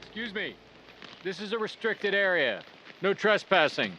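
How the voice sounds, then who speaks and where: A man's voice: sits high, very animated, moderate pace, sharp tone, a little hiss or hum, heavily acted, low-quality recording. Man playing a curt, threatening site worker. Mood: stern, threatening. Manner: curt, commanding. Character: stern, threatening male worker guarding a secret site. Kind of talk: audio drama acting. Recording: music bed under the voice.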